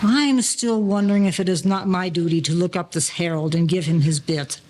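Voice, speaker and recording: A man's voice: sits high, fairly even intonation, moderate pace, a little mellow, lightly acted. A man playing a wary old-time gangster in an audio play, speaking in formal, slangy phrases. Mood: gruff, wary. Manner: slangy, formal present-tense gangster talk.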